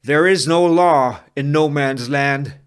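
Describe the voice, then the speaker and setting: A man's voice: fairly high pitch, slow, clean tone, voice pushed up. A man voicing a bitter, defiant saloon matron with slurred words in a Western script reading. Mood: scornful, rallying. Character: defiant battered saloon matron, rousing her townsfolk.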